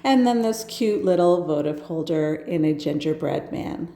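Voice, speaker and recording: A woman's voice: low-pitched, lively intonation, moderate pace, warm tone, echoey room. A woman with a stuffed-up nose from a cold, chatting enthusiastically as she narrates her home decorating. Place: home kitchen.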